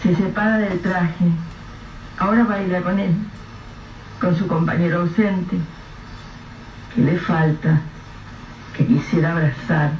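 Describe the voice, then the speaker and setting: Echoey, measured radio-drama narrator, male. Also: sits high, fairly even intonation. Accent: Rioplatense Spanish. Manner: measured, vivid storytelling. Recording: echoey, distant, dull, faint hiss.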